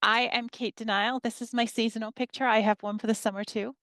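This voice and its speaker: A woman in her fifties from northern England, a history professor giving a measured workshop presentation to educators and reading her slides aloud. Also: a little high, fairly even intonation, a bit bright, dry recording, rough recording.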